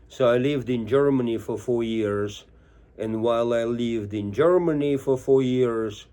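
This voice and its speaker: A man's voice: mid-range voice, dark tone. A middle-aged man from Moscow with a Russian accent, telling stories casually and informally as an interview guest. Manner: informal, tells anecdotes with quoted dialogue. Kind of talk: casual conversational storytelling.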